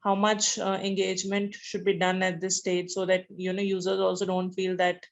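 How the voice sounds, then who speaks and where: A woman founder asking a webinar question over a video call, halting and searching for words with "like" and "you know". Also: mid-range voice, completely flat delivery.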